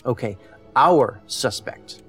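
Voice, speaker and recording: A federal investigator in a sci-fi audio drama. He has a mid-pitched voice and a dry, clipped, self-assured delivery. There is soft music behind him. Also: expressive, slow, breathy edge, heavily acted. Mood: matter-of-fact. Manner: dry, terse, matter-of-fact. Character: dry-witted male federal agent, terse, independent, self-assured. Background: faint music.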